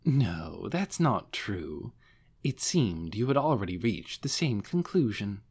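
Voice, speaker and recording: A suave demon strategist, put on by a male narrator in a fantasy audiobook. He explains things patiently and precisely, like a deferential professor. His intonation is varied and deliberate. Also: on the low side, very animated, gravelly, a little mellow, breathy edge, full character voice.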